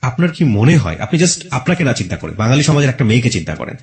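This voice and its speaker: A man's voice: mid-range voice, very animated, quick pace. A man with a Bangladeshi accent hosting a radio interview, speaking analytically to guest and listeners and mixing in English phrases. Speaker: radio show host and interviewer.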